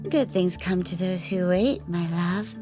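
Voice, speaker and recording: An actress playing a composed, cunning woman who speaks in a measured, formal way in an audio roleplay. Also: on the low side, mellow, faint music behind, close to an everyday voice. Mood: calm, scheming. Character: composed, cunning woman, devoted partner and schemer. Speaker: lead character in an audio drama. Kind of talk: ASMR audio roleplay acting.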